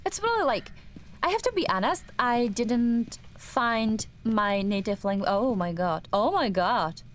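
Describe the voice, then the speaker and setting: Young woman, Czech accent, slow halting speech, lots of fillers, highish voice, gaming stream chat. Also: expressive. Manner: hesitant, full of fillers and restarts. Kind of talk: casual chat while gaming.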